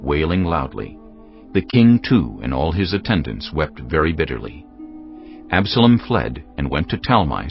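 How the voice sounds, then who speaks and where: A man narrating scripture as a solemn, measured storyteller in a dramatized audio production. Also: rumbling low, lo-fi sound.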